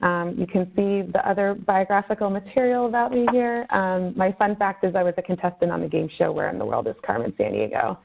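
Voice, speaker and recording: A woman in her thirties, a consulting manager, giving a relaxed self-introduction full of fillers on a webinar. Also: lowish voice, a little fast, gravelly, hardly any pauses. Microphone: conference call line. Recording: phone-line webinar audio.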